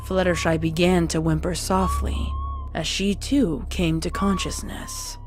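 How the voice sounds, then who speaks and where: Low-pitched woman narrating a story. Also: huge pitch swings, unhurried, music in the background. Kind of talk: scripted dramatic narration.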